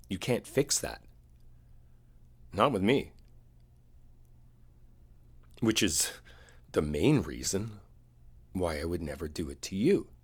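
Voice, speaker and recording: Very deep-voiced, slightly husky boyfriend played by a man. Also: full character voice. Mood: earnest reassurance. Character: wounded, devoted boyfriend, earnest and reassuring. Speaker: audio roleplay performer. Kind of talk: boyfriend ASMR roleplay, intimate one-sided dialogue.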